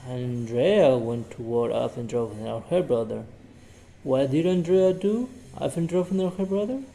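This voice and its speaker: A man's voice: medium pitch, lively intonation, moderate pace, creaky, dark tone, slight background noise, low-quality recording. A man teaching English by reading a short story and drilling it with questions he asks and answers himself, repeating each sentence.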